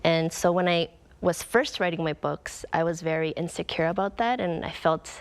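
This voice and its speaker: A woman's voice: lowish voice, normal speed. A young woman author from Canada giving earnest, reflective interview answers, with frequent "um" and "like". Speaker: author guest.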